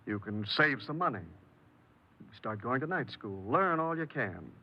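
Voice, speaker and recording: Practical male mentor, mid-pitched, earnest, persuasive encouragement; 1950s radio drama, dull sound. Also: animated, a little slow. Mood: earnest, encouraging. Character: encouraging, practical male adviser to a young man. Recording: muffled 1950s radio recording.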